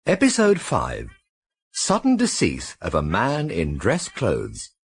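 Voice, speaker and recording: A man's voice: fairly low pitch, huge pitch swings, slow, gravelly, background noise. A man with a British accent giving a scripted radio drama introduction as announcer.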